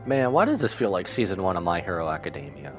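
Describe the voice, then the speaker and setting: Dude streaming a game, low voice but really animated, casual slangy reactions. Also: male voice, fast, music in the background. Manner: slangy, informal. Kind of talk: casual live reaction commentary.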